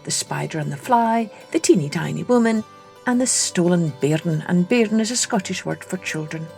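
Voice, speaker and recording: A woman hosting a children's story podcast, reading scripted narration in a deliberate, expressive way. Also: on the low side, gravelly, faint music behind.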